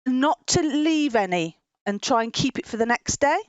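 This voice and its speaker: A woman with a fairly high voice tells a children's story in an informal way. She explains things in simple words and repeats points as she goes. The sound is dry and close. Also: lively intonation, normal speed, smooth, no echo, muffled recording. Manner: simple explanatory phrasing with frequent repetition and chuckles. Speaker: children's Bible story presenter.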